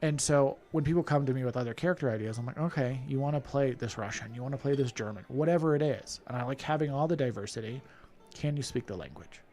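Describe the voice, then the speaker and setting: A man co-hosting a podcast, telling animated stories about playing characters at a festival and laughing often. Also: medium pitch, quick pace. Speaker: podcast co-host and festival performer. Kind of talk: casual podcast chat.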